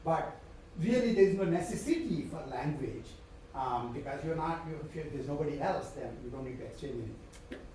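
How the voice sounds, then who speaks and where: A man's voice: on the high side, expressive, moderate pace, warm tone, a little hiss or hum. A man with an Indian accent, a professor giving a live university lecture in a digressive way, trailing into fillers and short chuckles. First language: Telugu. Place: lecture hall. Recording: echoey lecture hall from far off.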